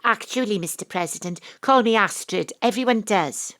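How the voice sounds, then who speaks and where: A woman's voice: medium pitch, normal speed, a bit bright, lightly acted. A woman with a Welsh accent playing a brash, independent investigator, narrating an audio drama in a dry, blunt manner. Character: wry, brash, independent-minded female investigator narrating her case.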